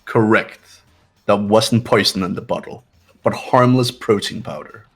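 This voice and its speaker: Male player reading a game script aloud: low, husky, calm and measured, with music underneath. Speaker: player voicing a game character.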